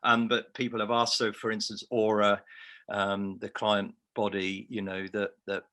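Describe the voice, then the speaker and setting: A man's voice: on the low side, level tone, unhurried, bright, forceful tone. A man with a Liverpool accent, an award-winning researcher, giving a reflective, self-deprecating answer in a remote interview. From Liverpool, England.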